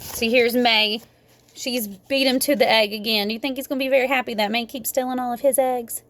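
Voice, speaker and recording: A woman reading a children's book aloud in an expressive, emphatic manner. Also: a little high. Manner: animated, emphatic delivery with dramatic slowing. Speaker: storybook reader.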